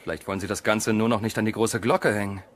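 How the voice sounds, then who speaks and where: A man's voice: on the low side, fairly even intonation, fast, slight background noise, close to an everyday voice. An actor playing a cheeky, teasing male sidekick in an audio drama. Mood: wry.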